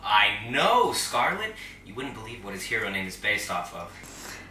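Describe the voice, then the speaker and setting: A man playing a smug, theatrical superhero group leader in an audio drama, taunting with grand, drawn-out delivery. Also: on the low side, sing-song, pushed and bright, slight background noise, full character voice. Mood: mocking. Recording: roomy, slightly distant microphone.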